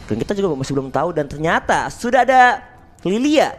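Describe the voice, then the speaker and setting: A young male esports caster with a high, light voice breaks down the draft picks. He talks in long, analytical stretches and explains why each hero was chosen. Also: sing-song, brisk.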